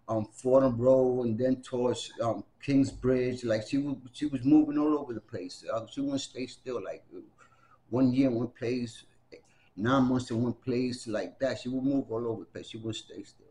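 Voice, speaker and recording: A man's voice: mid-range voice, warm tone, a little hiss or hum, rough recording. A man around sixty, born in Puerto Rico and raised in the Bronx, telling his story in an oral history interview with many fillers and restarts. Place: library room with bookshelves.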